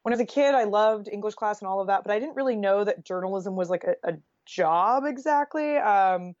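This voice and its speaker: An American woman with a mid-pitched voice speaks as a podcast guest. She is casual, candid and a little wry. The sound is dry and somewhat dull, with a lo-fi edge. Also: fairly quick, a little mellow, no echo. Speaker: journalist and author, podcast guest. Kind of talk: casual conversational interview.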